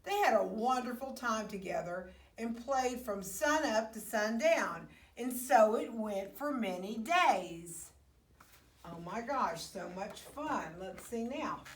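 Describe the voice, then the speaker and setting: Slow, high-voiced woman reading a storybook. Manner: expressive, with hushed asides to the young listeners. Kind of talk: children's picture-book read-aloud. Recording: roomy, echoey, distant microphone.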